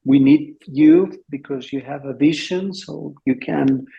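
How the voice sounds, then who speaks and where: A man's voice: on the high side, a little slow, vocal fry, warm tone, dull audio. A man moderating a roundtable on a video call, engaged and affirming as he thinks aloud. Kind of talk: conversational discussion.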